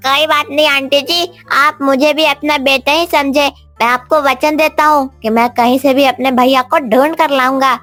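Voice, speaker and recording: A woman's voice: high voice, fairly even intonation, moderate pace, fairly bright tone, no room sound, faint music behind. A woman voicing a loyal, chatty young male monkey in a cartoon, eager and insistent. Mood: reassuring.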